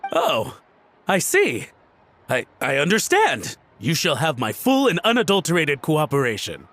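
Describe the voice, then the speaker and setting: A man's voice: fairly high pitch, huge pitch swings, measured pace, fairly bright tone, exaggerated voice. A stammering, flustered young man. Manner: stammering, flustered, overly formal. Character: nervous, timid young man caught out. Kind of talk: video game cutscene dialogue.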